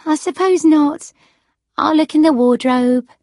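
A woman's voice: sits high, a little slow, a little mellow, no echo, studio quality, voice pushed up. A woman voicing a patient, cheerful granddaughter in an audiobook story, coaxing and soothing her grandmother with encouragement.

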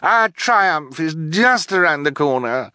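A man voicing a vain, cruel, stylish villainous woman in an audiobook, theatrical and gushing, with drawn-out exclamations. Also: medium pitch, sing-song, fairly bright tone, no room sound, clean studio sound, voice pushed up. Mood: shrieking glee. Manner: purring, shrieking, drawn-out exclamations.

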